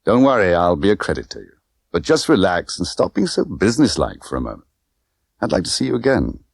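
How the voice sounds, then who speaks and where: A man's voice: low voice, big pitch swings, brisk, vocal fry, dry recording, studio quality, exaggerated voice. A man voicing a cool, easygoing British secret agent, unruffled, laconic and lightly teasing. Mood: smooth, teasing.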